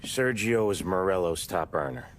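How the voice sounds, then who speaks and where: Man acting a very deep-voiced American gangster. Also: moderate pace, slight background noise, lightly acted. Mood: matter-of-fact. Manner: matter-of-fact, explanatory. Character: sober, deferential male mob adviser, matter-of-fact. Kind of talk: video game cutscene acting.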